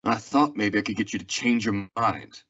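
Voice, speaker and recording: Young man playing a low, husky Western lawman. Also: normal speed, fairly bright tone. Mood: firm, troubled. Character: weary, plainspoken Old West lawman, grown man. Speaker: actor in a school radio theatre production. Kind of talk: old-time radio Western drama acting and narration. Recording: dull lo-fi radio-play recording.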